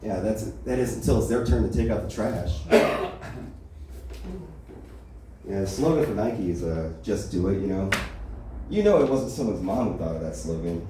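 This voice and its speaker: A young man with an American accent works through a stand-up set at an open mic, his voice sitting fairly low. He rambles, padding every joke with "you know" and "right?". The sound is roomy and distant, with plenty of echo and noise around it. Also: lively intonation, moderate pace, long pauses. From Los Angeles area, USA. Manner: rambling, filler-heavy delivery with constant "you know, right?". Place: small comedy club open mic. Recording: echoey room, from far off, noisy.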